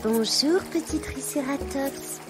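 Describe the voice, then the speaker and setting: Huge, kindly female diplodocus for a children's storybook, played by a woman: calm, friendly, music underneath. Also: sits high, slow.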